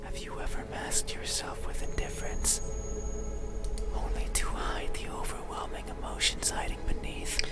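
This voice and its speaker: A performer voicing an ageless cursed being in a horror game, in a breathy, hollow, sorrowful whisper with slow, halting, solemn delivery. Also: close to an everyday voice. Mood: eerie, grateful. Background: loud background music.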